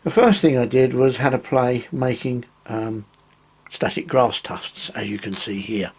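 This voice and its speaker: A man with a croaky voice after a cold, casually explaining his model railway work, pausing often with ums. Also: medium pitch, darkish tone. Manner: rambling, hesitant, many ums. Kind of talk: casual hobby tutorial.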